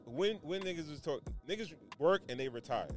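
A man's voice: on the high side, lively intonation, brisk, music in the background. A man co-hosting a casual podcast debate, blunt and profane, cutting in to dismiss and push back.

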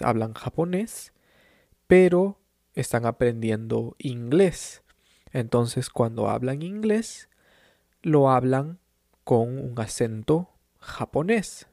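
A man from Peru teaches in an unhurried, deliberate way, letting each phrase land before the next. His tone is calm and reassuring, and he now and then repeats a point in English. The recording is dry and close, with no room sound. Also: medium pitch, dry recording. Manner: calm and encouraging, with brief English glosses. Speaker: language teacher. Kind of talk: slow, clear teaching monologue.

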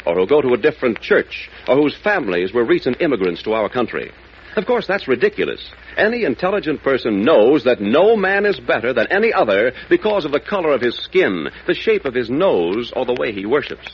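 A male old-time radio host speaking earnestly to youngsters, with emphatic, lively swings of pitch. The recording is muffled, with faint noise. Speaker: radio show host addressing young listeners. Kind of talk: scripted 1940s radio address.

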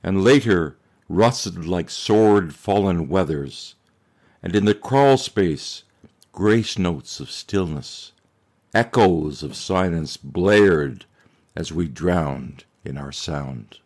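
A man, a poet, reciting verse in a solemn, measured manner. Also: sits low, lively intonation, a little mellow. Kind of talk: poetry recitation.

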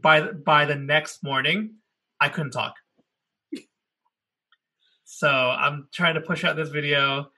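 A man's voice: on the high side, fairly even intonation, takes it slowly, pauses often, pushed and bright. A man co-hosting a casual talk show, rambling and correcting himself as he tells a story. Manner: rambling, self-correcting, laughs often. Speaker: podcast co-host. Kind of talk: casual chat.

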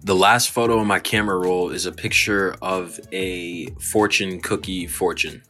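A man in his twenties, a dating show contestant, laid-back, his sentences trailing off softly. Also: low voice, steady tone, moderate pace, soft background music. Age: 20s. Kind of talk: casual chat.

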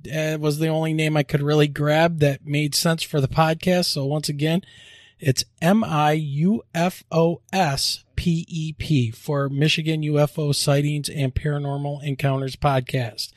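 A man's voice: a little high, flat delivery, unhurried, no echo, studio quality. Upbeat, chatty male podcast host. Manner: upbeat and chatty, laughs easily. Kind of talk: casual podcast chat.

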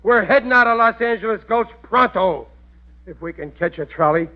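A man's voice: high voice, expressive, moderate pace. An actor playing a bumbling, exasperated working-class family man in a 1940s radio sitcom. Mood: mock-cowboy swagger. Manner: blustering, mangles phrases and grammar. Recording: muffled old 1940s radio recording.

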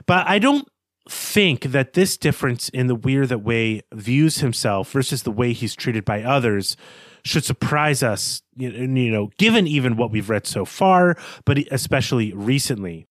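A male podcast co-host with a mid-pitched voice that moves around in lively swings. He explains his reading of a book in a thoughtful, conversational manner, recorded dry with no room sound. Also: measured pace, no room sound. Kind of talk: literary discussion, conversational analysis.

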